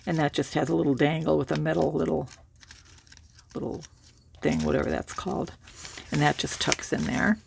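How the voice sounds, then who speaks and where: A woman with a cold and a raspy voice, casually showing a handmade journal and rambling through it with fillers. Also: sits low, normal speed, pauses often, slight background noise. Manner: relaxed, rambling, with many fillers and restarts. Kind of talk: casual show-and-tell.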